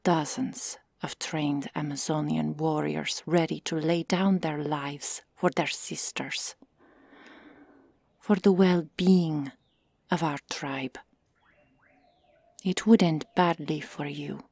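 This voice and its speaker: A woman playing a stoic, stern Amazon warrior in an ASMR roleplay, hushed and curt, often whispering. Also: sits low, fairly even intonation, slow, vocal fry, husky, dry recording, clean studio sound, full character voice. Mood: stern, guarded. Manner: curt, formal, restrained.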